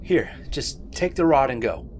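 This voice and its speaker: A man's voice: mid-range voice, expressive, unhurried, darkish tone, a little hiss or hum, close to an everyday voice. A man playing a nervous, cautious crew member in a sci-fi audio drama, hesitant and anxious in manner.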